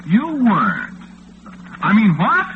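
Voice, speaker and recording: A man's voice: sits high, wildly swooping pitch, slow, full character voice. An actor playing a polite, flustered young male disc jockey who stammers in bewilderment, in old radio drama style. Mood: bewildered, nervous. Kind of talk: 1940s radio drama acting. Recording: tinny, noisy old radio recording.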